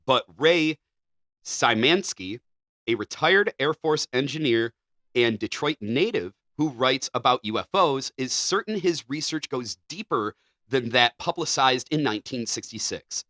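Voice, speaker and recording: A man's voice: mid-range voice, lively intonation, a little slow, sharp tone, dry recording, studio quality. A man co-hosting a podcast, chatting casually and reading news articles aloud. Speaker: podcast co-host.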